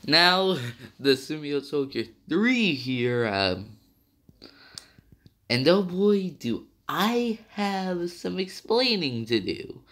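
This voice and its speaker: A man's voice: a little high, very animated, drawn-out words, a bit bright. Rambling young man, slightly nasal. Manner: rambling, self-correcting, many fillers. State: partly sick, slightly nasal. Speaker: game reviewer. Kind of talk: casual video game review.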